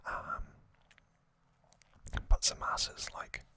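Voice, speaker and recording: Slow, breathy ASMR whisperer. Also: pushed and bright, no echo. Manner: slow, halting delivery in short phrases. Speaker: host of an ASMR channel. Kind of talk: whispered ASMR, informal episode recap.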